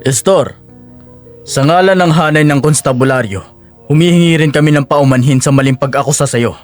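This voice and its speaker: A man's voice: on the high side, a little fast, faint music behind, natural voice. A man playing an authoritative constabulary officer in an audio drama, commanding and formal. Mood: formal, apologetic.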